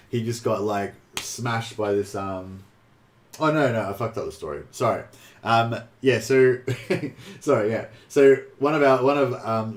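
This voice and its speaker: An Australian man chatting casually on a live game stream, rambling and halting, with some swearing. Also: mid-range voice. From New South Wales, Australia. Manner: rambling, halting, foul-mouthed. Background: faint game music.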